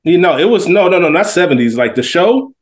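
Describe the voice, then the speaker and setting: A man's voice: high voice, very animated, a little fast. A man co-hosting a podcast, chatting casually in an emphatic, teasing, slangy way. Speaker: podcast co-host. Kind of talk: casual chat.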